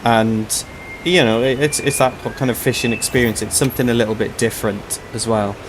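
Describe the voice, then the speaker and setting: A man hosting a casual game walkthrough, his voice a little hoarse and tired, explaining things in a chatty, rambling way. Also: mid-range voice, steady tone, a little fast, background noise. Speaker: host of a gaming channel.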